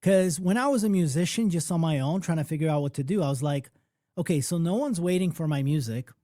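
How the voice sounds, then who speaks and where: A man who grew up in Poland, hosting a live music-business workshop in a conversational, animated storytelling manner, often checking in with "okay?" and "right?". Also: a little high, fairly quick, no room sound, studio quality.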